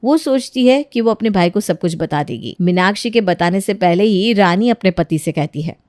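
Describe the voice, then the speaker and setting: A woman's voice: mid-range voice, lively intonation, normal speed, darkish tone, no room sound, clean studio sound. A woman giving scripted narration for a family moral story.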